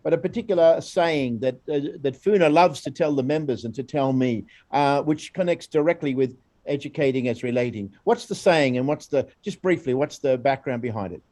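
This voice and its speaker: A man's voice: mid-range voice, normal speed, few pauses. An Australian man directing a program, giving an informal recorded presentation in a chatty, rambling way as he draws out his colleague. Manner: chatty, rambling, warm encouragement of his guest.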